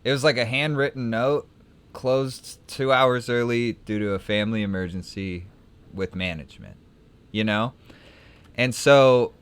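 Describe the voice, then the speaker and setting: A man's voice: mid-range voice, fairly bright tone, smooth. A young man casually chatting while streaming a card game, telling a rambling story with frequent restarts. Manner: rambling, self-correcting storyteller. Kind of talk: casual gameplay chat and anecdote.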